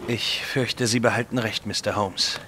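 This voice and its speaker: A man's voice: on the low side, fairly even intonation, normal speed, close to an everyday voice. An actor playing a grave, knowledgeable, remorseful time traveller in a Victorian audio drama, speaking earnestly as he explains. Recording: clear outdoor vehicle noise behind.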